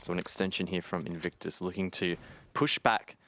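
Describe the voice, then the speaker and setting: A man doing brisk play-by-play commentary on an esports match, his voice rising at the big plays. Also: fairly even intonation, sharp tone. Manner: brisk, rises in pitch at key plays. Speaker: esports caster.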